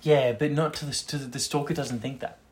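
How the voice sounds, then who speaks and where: A young man with a mid-pitched voice talks informally with a friend. His delivery is loose and rambling, like a homemade chat podcast. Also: fairly even intonation, quick pace. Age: young adult. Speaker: podcast co-host.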